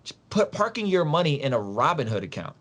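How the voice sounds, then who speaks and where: A man's voice: a little high, big pitch swings, brisk, fairly bright tone. A man from Soviet Russia, a podcast guest explaining things in an emphatic, casual, slangy way, repeating phrases and posing rhetorical questions.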